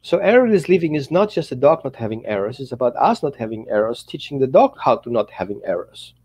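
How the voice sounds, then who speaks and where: Casting note: Greek-accented man in his fifties, animated pitch, casual video-call chat, non-native phrasing. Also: fast talker, slightly rough. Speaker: dog trainer, co-host. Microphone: headset on a video call.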